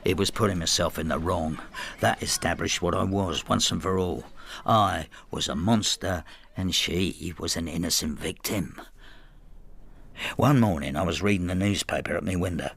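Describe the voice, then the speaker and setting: An older man with a low voice, narrating his life in a radio play. He is inward and resentful, confiding his thoughts in a reflective, intimate manner. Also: normal speed, creaky, fairly bright tone, breathy edge, exaggerated voice. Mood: brooding. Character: brooding older Frenchman, resentful, inward, estranged husband. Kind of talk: radio drama, intimate first-person narration and dialogue.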